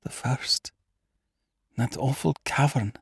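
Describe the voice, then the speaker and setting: A man voicing a terrified, haunted aristocratic lady in a tremulous, breathy, dramatic manner for a storybook. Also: mid-range voice, slow pace, a bit bright, no room sound, clean studio sound, pitched above the performer's own voice, exaggerated voice. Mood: fearful, tremulous.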